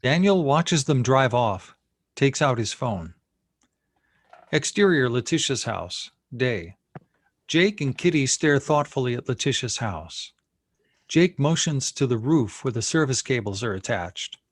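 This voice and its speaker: A man's voice: medium pitch, expressive, unhurried, dry recording. A man reading screenplay action and scene headings as the narrator of a table read. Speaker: narrator reading stage directions.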